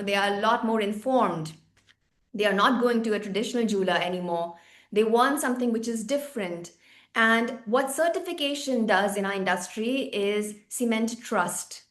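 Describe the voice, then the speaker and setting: A woman's voice: fairly high pitch, echoey room. Enthusiastic woman from India on a video call. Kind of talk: online seminar panel talk.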